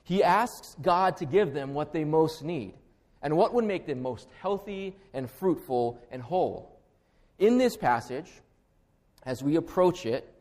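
A middle-aged man from Hawaii with a Hawaiian accent, preaching a sermon as pastor in an earnest, measured way. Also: fairly high pitch, darkish tone. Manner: earnest, measured preaching with a slow, deliberate prayer.

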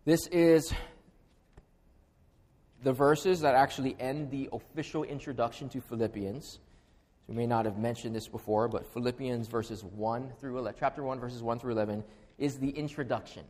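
A middle-aged man from Hawaii with a Hawaiian accent, preaching a sermon as pastor in an earnest, measured way. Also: medium pitch, steady tone, a little mellow. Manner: earnest, measured preaching with a slow, deliberate prayer.

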